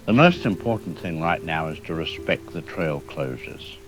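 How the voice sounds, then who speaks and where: A man playing a careful, deliberate wildlife expert doctor interviewed on the news in an audio drama. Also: a bass voice, expressive. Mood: measured concern. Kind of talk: broadcast interview acting.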